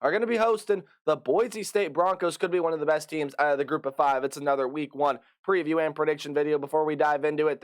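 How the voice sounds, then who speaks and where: A young man hosting a college football prediction video, his voice a bit hoarse as he gets over a cold, chatting upbeat through player names and stats. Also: fairly high pitch. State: hoarse, getting over an illness. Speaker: sports prediction channel host. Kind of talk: casual college football preview.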